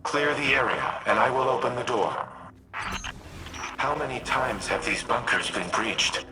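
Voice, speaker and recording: An actor voicing a grave, remorseful artificial war mind from a game, speaking in a formal, measured, precise way. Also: male voice, mid-range voice, very noisy, lightly acted. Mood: grave, instructive, then remorseful, confessional. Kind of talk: scripted game dialogue.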